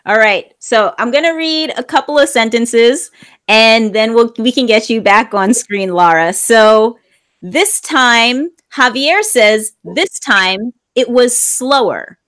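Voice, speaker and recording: A woman's voice: on the high side, lively intonation, slow, a bit bright. A woman teaching an online English class, explaining grammar patiently and repeating phrases for learners.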